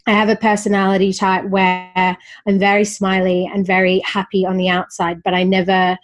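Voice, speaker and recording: A woman's voice: medium pitch, completely flat delivery, moderate pace, soft background music. A woman in her thirties with an Australian accent worn down by life in London, a confidence coach chatting openly as a podcast guest while getting over a slight cold. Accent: Australian, softened by years in London. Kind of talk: casual interview chat.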